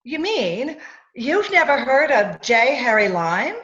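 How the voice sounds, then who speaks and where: A woman's voice: high voice, very animated, slow, fairly bright tone, full character voice. A woman playing a haughty, exasperated fiancee in old-time radio drama style, theatrical and insistent, on dull video-call audio. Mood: incredulous.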